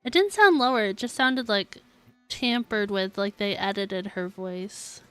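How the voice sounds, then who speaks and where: A woman's voice: mid-range voice, a little slow, smooth, faint music behind. A woman streaming a game, casually reacting in an informal, giggly way. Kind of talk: casual live reaction commentary.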